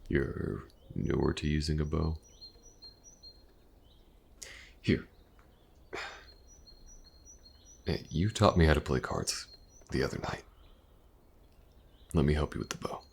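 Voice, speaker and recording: Man acting a very deep, gravelly elven mercenary. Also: slight background noise. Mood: friendly, encouraging. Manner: hesitant, soft-spoken, casual, with halting pauses. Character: male elven mercenary companion, patient, awkwardly gentle archery teacher. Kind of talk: intimate fantasy audio roleplay acting.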